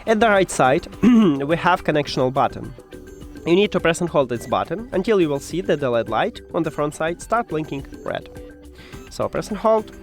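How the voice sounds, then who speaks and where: A man with non-native English walks through a device tutorial step by step. His voice sits a little high and swings up and down in a lively way, though his phrasing is halting. Soft music plays faintly behind him. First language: not English. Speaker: tech tutorial presenter.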